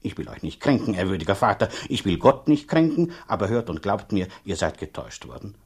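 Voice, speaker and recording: A husky, low-voiced, blunt country dean. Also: male voice, sing-song, heavily acted. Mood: incredulous, insistent. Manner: halting, emphatic, repeats himself. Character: blunt, talkative male rural dean, sceptical and condescending. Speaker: actor in a radio play. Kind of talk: German radio drama acting.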